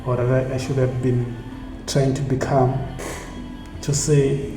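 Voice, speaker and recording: Earnest man, flat voice. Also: medium pitch, music in the background. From South Africa. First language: Tsonga. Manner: earnest, halting, repeats phrases. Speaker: community initiative founder. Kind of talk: reflective motivational monologue. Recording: echoey room with steady background noise.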